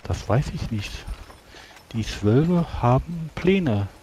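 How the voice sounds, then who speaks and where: A man playing a solemn, measured priest in a tabletop game, speaking formally and archaically as he counsels a comrade. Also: mid-range voice, lively intonation, warm tone, slight background noise. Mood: earnest, exhorting. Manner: formal archaic speech, preachy, deliberate. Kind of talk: tabletop roleplay in character.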